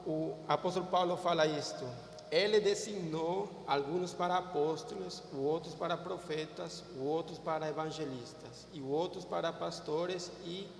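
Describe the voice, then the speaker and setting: Peruvian pastor preaching in a hall. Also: male voice, a little high, vocal fry, slight background noise. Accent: Peruvian Spanish. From Peru. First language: Spanish. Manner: halting, repeats words while searching for Portuguese. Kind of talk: sermon. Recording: echoey hall, far from mic.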